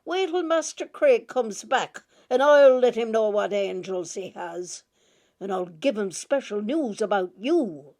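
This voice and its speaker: A woman reading aloud and voicing a cross, sharp-tongued schoolmistress who scolds in a shrill, raised voice. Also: lively intonation, measured pace. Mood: scolding.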